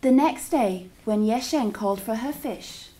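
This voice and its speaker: A woman's voice: on the high side, expressive, measured pace, warm tone. A woman teacher reading a story aloud to children in a dramatic, engaging way. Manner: dramatic, engaging listeners with questions.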